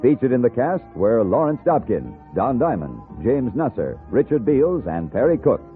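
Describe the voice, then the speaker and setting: A man announcing a radio show in a formal, dramatic and measured 1950s style. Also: medium pitch, very animated, faint music behind. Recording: muffled old radio broadcast.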